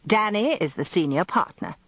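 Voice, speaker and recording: An actor playing a pompous, grumbling old barrister in a radio drama, British, with a grandiloquent and plaintive way of talking. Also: male voice, high-pitched, lively intonation, normal speed, fairly bright tone, no echo, highs cut off. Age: older. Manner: grandiloquent, plaintive, self-important.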